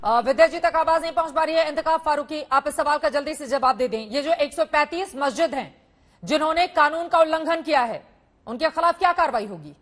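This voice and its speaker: A high-pitched woman anchors a live television news debate. She is pointed and insistent, pressing her guests for answers. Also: fairly quick, fairly bright tone.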